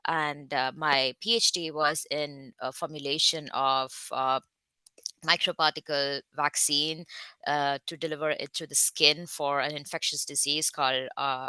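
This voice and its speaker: An Indian woman in her thirties presents a research talk over a video call. Her voice sits low but sounds bright and crisp, and the sound is dry with no room around it. She speaks carefully, often pausing on fillers. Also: no echo. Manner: careful, with frequent hesitant fillers. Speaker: guest speaker, research scientist. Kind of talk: online presentation with slides.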